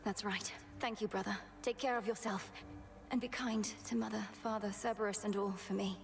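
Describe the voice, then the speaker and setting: A woman playing a resolute young goddess princess in a video game, speaking in a formal, measured, determined way. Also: medium pitch, steady tone, creaky, lightly acted. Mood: hushed, solemn. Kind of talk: dramatic video game acting.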